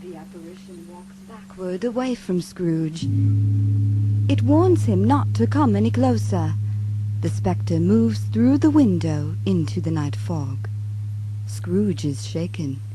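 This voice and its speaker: Dark-voiced radio drama narrator. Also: mid-range voice, animated. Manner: measured, solemn storytelling. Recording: noisy old live radio recording.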